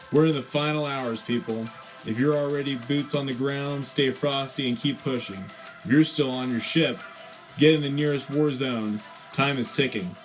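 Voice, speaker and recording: An actor playing a gung-ho radio news host in a sci-fi war, delivering scripted bulletins in an urgent, folksy, rallying way. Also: male voice, mid-range voice, natural voice, poor audio. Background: music.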